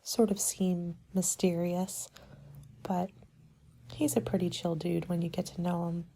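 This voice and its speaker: A woman performs a soft-spoken ASMR roleplay with a dark, relaxed tone and a breathy, husky edge. She talks in a polite, measured, businesslike way, like a consultant taking a client through options. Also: on the low side, rough recording. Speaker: party planning agent in an ASMR roleplay.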